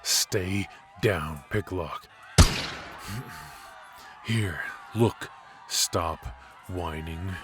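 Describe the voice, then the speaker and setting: A man's voice: low-pitched, very slow, long pauses, noisy background. A man playing a cocky, ruthless and protective mafia boss in a noir ASMR roleplay, with an intimate, teasing delivery. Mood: urgent, strained. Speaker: voice actor.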